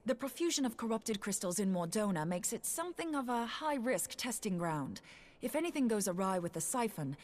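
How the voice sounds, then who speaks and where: A cheeky, practical inventor in a fantasy video game. She speaks with breezy confidence and a very lively, swinging melody. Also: medium pitch. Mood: breezy, wry. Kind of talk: scripted fantasy game dialogue.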